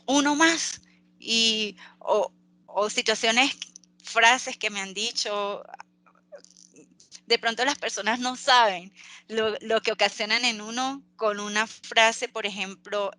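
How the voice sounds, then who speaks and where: A Venezuelan woman speaking earnestly as an interview guest, pausing and restarting often. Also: medium pitch, pushed and bright, no echo. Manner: earnest, halting with many restarts and fillers. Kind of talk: interview over a call.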